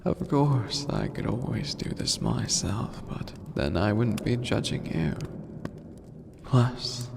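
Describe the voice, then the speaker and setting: A performer voicing an imperious, scheming skeleton demon lord in a breathy, creaky whisper, speaking slowly and theatrically in an ASMR roleplay. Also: mid-range voice, very animated, exaggerated voice. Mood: commanding, judging. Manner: slow, theatrical, formal, menacing. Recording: noisy, long cavernous reverb.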